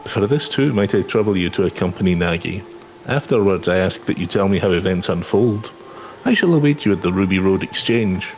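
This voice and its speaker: A man's voice: low voice, expressive, brisk, darkish tone, a little hiss or hum. A man voicing a polite, earnest young male adventurer in a fantasy game, with formal, sincere and careful phrasing. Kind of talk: fantasy game character read-aloud.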